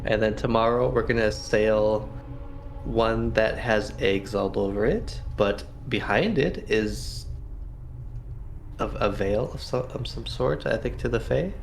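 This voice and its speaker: A man's voice: lowish voice, measured pace, creaky, faint music behind. A man playing a tabletop roleplaying game, chatting casually in a wry, joking way.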